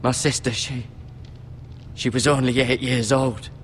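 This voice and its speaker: An actor playing a wounded young squire in a fantasy game drama, earnest and grim, speaking in terse phrases. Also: male voice, medium pitch, level tone, unhurried, slight background noise, natural voice. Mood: grief-stricken. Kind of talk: dramatic video game acting.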